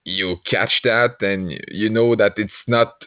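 A young man talks slowly in English, hesitating and restarting often as he explains himself. His voice sits low for a man. The sound is tinny and dry, like a video call. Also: fairly even intonation, no echo. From Quebec, Canada. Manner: hesitant, many fillers and restarts, laughs often. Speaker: podcast guest, improv player. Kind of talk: casual chat.

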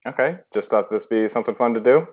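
A man's voice: mid-range voice, fast. Chatty man hosting a voice chat. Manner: chatty, welcoming. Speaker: host of an online improv game. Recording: tinny voice-chat line.

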